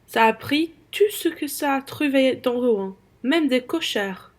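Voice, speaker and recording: A woman playing a spiteful, coarse merchant's wife in a radio play, sharp-tongued and scornful. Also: sits high, lively intonation, slow.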